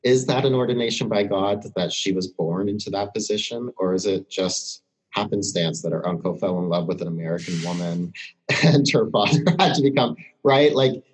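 A man's voice: fairly low pitch, moderate pace, low-quality recording. A man speaking reflectively as a podcast guest, thinking aloud and searching for his words in a conversational discussion.

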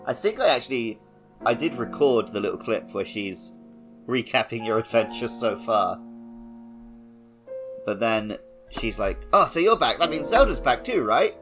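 A man's voice: medium pitch, very animated, normal speed, over music. A man co-hosting a podcast, talking casually and conversationally about a video game. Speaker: podcast co-host.

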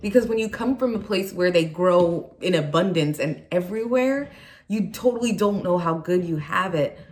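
A woman's voice: on the low side, lively intonation, moderate pace, darkish tone. A young adult woman youth pastor from Nigeria, raised in California, giving an earnest chapel sermon with prayer and personal stories.

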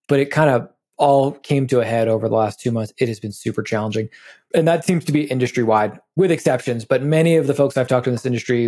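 A man's voice: mid-range voice, mellow. Easygoing American-sounding man hosting. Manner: candid, rambling, peppered with "like". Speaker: podcast host. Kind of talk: casual interview chat.

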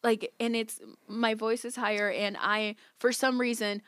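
A young woman whose first language is Spanish, chatting casually as a podcast guest. She speaks with a fairly high voice, giggles often and fills her talk with likes. The recording is dry and close, with no room sound. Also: little pitch movement, unhurried, a bit bright, clean tone, dry recording. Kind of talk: casual conversation.

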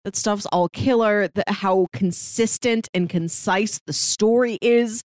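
A woman's voice: medium pitch, a little slow, darkish tone, no echo. Conversational female podcast co-host, dry close sound. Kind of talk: casual chat reviewing a TV series.

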